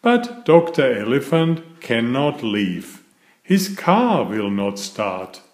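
A man reading a picture book aloud to young children in a deliberate, emphatic storytelling style. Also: mid-range voice, very animated, darkish tone, reverberant.

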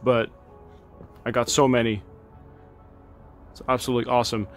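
A man talks casually and eagerly as he tours his garden outdoors, pausing on fillers as he goes. His voice sits at an ordinary middle pitch. Faint music plays under the recording. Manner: relaxed, enthusiastic, chatty with fillers. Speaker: gardening channel host. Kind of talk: casual garden tour narration.

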